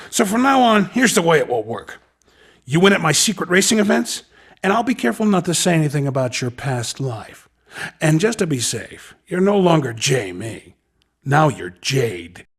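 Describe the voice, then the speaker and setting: A man voicing a slick, pushy underground race recruiter in a video game, with a raspy voice and cocky, insinuating salesman patter. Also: a little high, a bit bright, full character voice. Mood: sly, threatening. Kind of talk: video game character dialogue.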